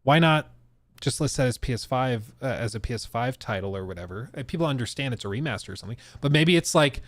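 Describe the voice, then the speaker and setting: A man's voice: mid-range voice, animated, fast, no echo. A man hosting a casual livestream about gaming news, talking in an informal, slangy, chatty way. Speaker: podcast host.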